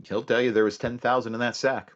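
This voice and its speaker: An actor playing a calm police detective who narrates his case in a terse, matter-of-fact radio drama style. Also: mid-range voice, quick pace, no echo, highs cut off, lightly acted.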